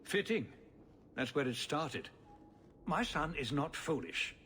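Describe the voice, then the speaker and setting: Careworn ruler with swooping intonation. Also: male voice, on the high side, big pitch swings, slow, sharp tone, slight background noise, heavily acted. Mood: weary, diplomatic. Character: careworn, formal older male city ruler and father. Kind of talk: video game cutscene acting.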